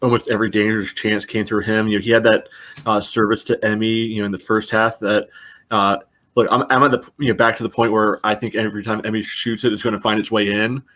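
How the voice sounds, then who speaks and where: A man's voice: fairly low pitch, level tone, fast talker, fairly bright tone. A man co-hosting a sports podcast, analyzing soccer casually in a loose conversational way full of small asides.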